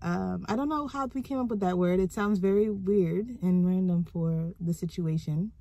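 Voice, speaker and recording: Hoarse, chatty woman in a car. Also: lowish voice, moderate pace, dry recording, dull audio. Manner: chatty, animated storytelling. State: hoarse from a cold. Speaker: vlogger mother. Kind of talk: casual vlog chat. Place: parked car, indoors. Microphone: phone held at arm's length.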